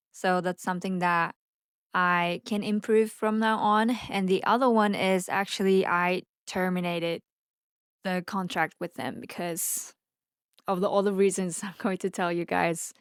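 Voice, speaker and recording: A woman whose first language is Japanese talks casually and candidly, as if to friends on a vlog. Her voice is clean and smooth at a medium pitch, recorded close and dry with no room sound. She speaks without a script and now and then trips over a word. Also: no room sound. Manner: candid, conversational, stumbles over words. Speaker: vlogger and social media marketing agency owner. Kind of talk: unscripted casual chat to camera.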